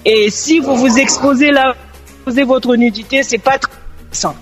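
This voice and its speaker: A woman's voice: high voice, fairly even intonation, moderate pace, over music. A woman giving her opinion earnestly as a guest in a radio discussion.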